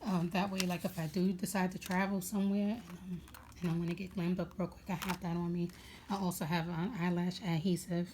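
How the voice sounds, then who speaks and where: A young woman with a raspy voice chatting casually at home, rambling with many fillers as she goes through her makeup bag. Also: lowish voice, little pitch movement, a little fast, background noise. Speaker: beauty vlogger.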